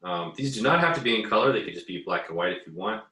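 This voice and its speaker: Deep voiced guy doing a casual art lesson, kinda hesitant thinking out loud, echoey room and a bit far from the mic. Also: animated, quick pace. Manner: easygoing, hesitant, thinking aloud while drawing. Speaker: art teacher giving an assignment demo. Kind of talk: casual screen-recorded drawing tutorial. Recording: roomy, echoey, distant mic.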